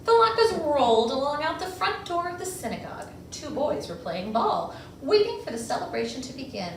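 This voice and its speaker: Female children's storyteller, dramatic read-aloud, high lively voice, heard from a distance in a roomy space. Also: moderate pace. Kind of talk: expressive picture-book read-aloud. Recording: echoey room, distant mic, faint noise.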